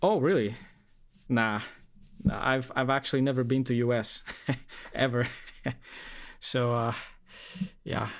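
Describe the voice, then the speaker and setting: A man's voice: mid-range voice, slow, pauses often, soft background music. A young man from Poland chatting casually on a live stream, rambling with lots of fillers and restarts.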